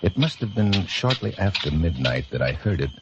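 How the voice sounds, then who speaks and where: An actor playing a blind detective captain, composed and courteous, with a measured, wry delivery in old-time radio drama style. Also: male voice, low-pitched, very animated. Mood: brooding, wry. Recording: old radio broadcast with music behind, muffled.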